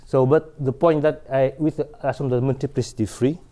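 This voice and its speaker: Male math lecturer, middle-aged, mellow voice, halting delivery with restarts, lapel mic in a big lecture hall. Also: medium pitch, steady tone. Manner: halting, many restarts and fillers, asks "right?". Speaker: mathematics lecturer. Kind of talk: chalkboard lecture. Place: large lecture hall at a chalkboard.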